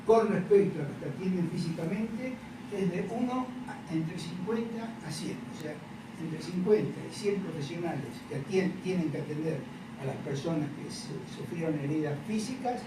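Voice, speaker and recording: Older Argentine male academic, halting lecture delivery, very reverberant distant recording with clear background noise. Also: a little high, animated. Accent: Argentine Spanish. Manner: halting, repeats words, adds questioning check-ins. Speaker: professor and psychoanalyst lecturing. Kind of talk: conference lecture. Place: large echoey university lecture room. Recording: noisy, echoey hall, distant microphone.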